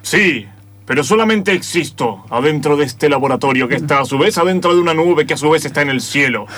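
A man's voice: on the high side, animated, a bit bright. An Argentine man playing a defiant mad meteorologist villain in an improvised comic radio play, theatrical and indignant. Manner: theatrical, indignant, drawn-out proclamations.

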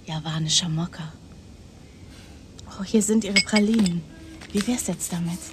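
An actress playing a seductive, mysterious, self-assured woman in a crime radio play, with breathy, teasing, coaxing delivery. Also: on the low side, measured pace, a little hiss or hum, lightly acted. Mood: quiet, intimate. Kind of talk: 1990s German crime radio play acting.

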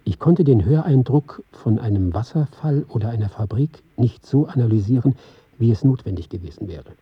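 An actor playing an earnest, reflective film pioneer, giving a measured, formal radio drama monologue in a husky voice. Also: male voice, medium pitch, animated, lo-fi sound. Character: earnest, reflective male film pioneer recounting his experiments. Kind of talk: scripted radio drama monologue, read as lecture.

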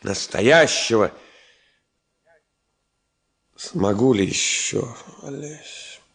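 An actor playing a driven, warm-hearted middle-aged engineer in a Soviet radio drama, colloquial and animated, moving between muttering and exclaiming. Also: male voice, mid-range voice, drawn-out words, gravelly, full character voice. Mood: determined, intimate. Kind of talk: naturalistic 1970s Soviet radio drama acting.

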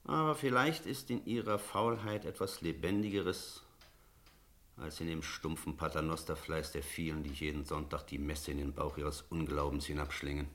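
An actor playing a stern, sceptical village priest in a radio drama, speaking in measured, literary phrases. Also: male voice, extremely low pitch, fairly even intonation, a bit bright. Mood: agitated, challenging. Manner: measured, rhetorical, literary diction. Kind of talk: German radio drama acting with narration.

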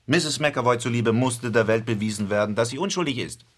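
An actor playing a self-assured, coolly logical detective who lays out a case in an articulate, measured radio drama style. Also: male voice, medium pitch, music in the background, close to an everyday voice. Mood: self-satisfied. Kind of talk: radio drama acting, explanatory monologue.

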